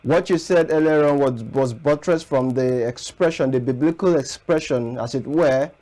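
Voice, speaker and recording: A Nigerian man hosting a broadcast interview, putting questions in measured, formal and wordy phrasing. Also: mid-range voice, warm tone. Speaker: interviewer, show host.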